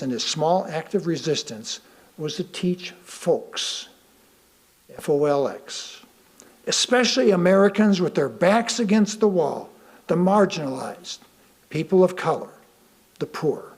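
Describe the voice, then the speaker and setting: A man reading a sermon aloud from a script, deliberately and with emphatic pauses. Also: on the high side, lively intonation. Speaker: lay reader of a sermon.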